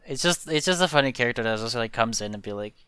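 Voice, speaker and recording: Rambling Belgian streamer with a smooth voice. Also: mid-range voice, lively intonation, fast talker, sharp tone, no echo. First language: Dutch. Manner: rambling, swears freely, restarts often. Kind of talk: casual podcast chat.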